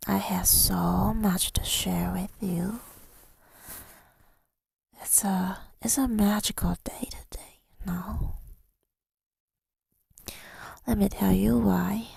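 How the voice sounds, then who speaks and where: A woman speaking softly and intimately in a husky, breathy ASMR style, hesitant and affectionate, often slipping into a whisper, close to the microphone. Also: medium pitch, fairly even intonation, takes it slowly, dry recording. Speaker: ASMR audio creator. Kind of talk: intimate ASMR girlfriend roleplay, telling about the day. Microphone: close microphone, dry sound.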